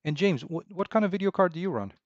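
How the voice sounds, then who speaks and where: A man's voice: high voice, no room sound. Man chatting on a dev stream. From Romania. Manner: relaxed, halting, many fillers and restarts, chuckles. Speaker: indie game developer streaming. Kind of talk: casual livestream chat with viewers. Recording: dull, slightly lo-fi dry sound.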